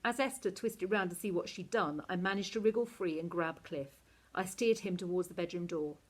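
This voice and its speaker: A woman narrating a children's novel aloud in an expressive, animated teacher's reading style. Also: fairly low pitch, moderate pace, poor audio.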